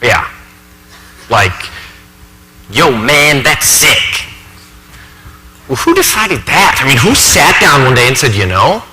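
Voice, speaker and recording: A man performing a dramatic stage monologue as an earnest, anxious thirty-year-old college professor, confiding in halting, emphatic asides. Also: mid-range voice, very animated, music in the background, voice pushed up. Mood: bewildered. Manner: conversational asides, halting, emphatic, self-questioning. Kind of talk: dramatic monologue for speech competition. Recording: echoey hall, faint background noise.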